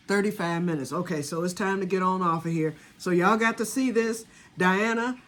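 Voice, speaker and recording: A woman's voice: lowish voice, fairly bright tone. A woman in her sixties, chatting casually to camera about her homemade batch, rambling and talking straight to her viewers. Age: 60s. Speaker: home vanilla maker.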